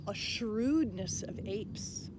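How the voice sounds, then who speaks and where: A woman's voice: mid-range voice, drawn-out words. Woman doing a kids book read-aloud, really up and down voice, some background noise. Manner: animated, addressing young listeners directly. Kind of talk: expressive children's picture book read-aloud. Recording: clear background noise, animal sounds.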